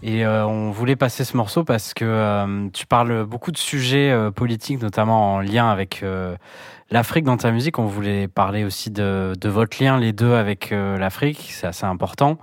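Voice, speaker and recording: A man's voice: fairly low pitch, moderate pace, dry recording, studio quality. A man hosting an interview, asking questions with frequent hesitations. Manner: hesitant, many fillers. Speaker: interview host.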